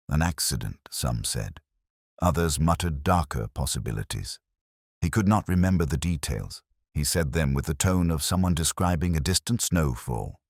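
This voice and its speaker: A man reading a scripted first-person detective story in a measured, reflective, literary manner. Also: a bass voice, a little mellow, no room sound, clean studio sound.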